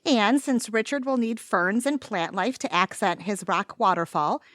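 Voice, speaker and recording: A woman's voice: mid-range voice, moderate pace, a bit bright, no echo, lightly acted. A woman playing an earnest, naively blunt young woman in a comedy audio drama, speaking in a precise, polite, upbeat way.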